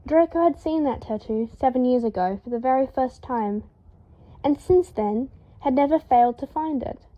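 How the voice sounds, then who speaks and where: Female fiction narrator, fairly high register, animated read, slightly muffled home-recorded sound. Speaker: podfic narrator. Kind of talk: expressive scripted fiction narration.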